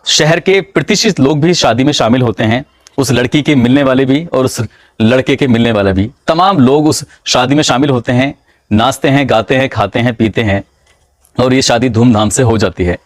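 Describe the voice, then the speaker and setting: A man narrates a true-crime story in a measured, dramatic storytelling manner. His voice sits at a middle pitch. The recording is dry and close, with no room sound. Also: dry recording.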